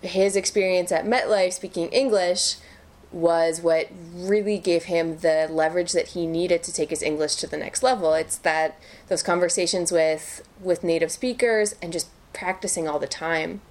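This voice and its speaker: A woman's voice: fairly low pitch, steady tone, normal speed, a little mellow. A woman from the northern United States co-hosting a casual chat. She is upbeat and encouraging and keeps affirming the guest with short replies. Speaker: podcast co-host.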